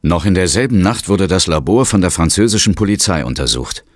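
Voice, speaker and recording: A resolute ghost hunter recounting his own adventure for a horror audio drama. The voice is low and sober, dramatic in its telling, with music underneath. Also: lively intonation, brisk. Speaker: first-person narrator.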